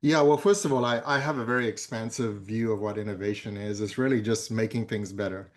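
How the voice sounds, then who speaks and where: A man in his fifties from Zambia who speaks as a guest in a conference fireside chat, measured and full of hesitant fillers. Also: fairly low pitch, steady tone. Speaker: board member, guest speaker.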